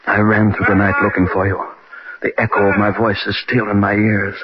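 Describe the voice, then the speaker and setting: A man's voice: fairly low pitch, monotone, a little fast, natural voice. A man acting an arrogant middle-aged husband in a theatrical old-time radio drama, domineering and intense. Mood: anguished, horrified. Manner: domineering, theatrical, clipped phrasing. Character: arrogant, scornful husband unravelling into terror. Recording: muffled old radio recording, crowd-like noise.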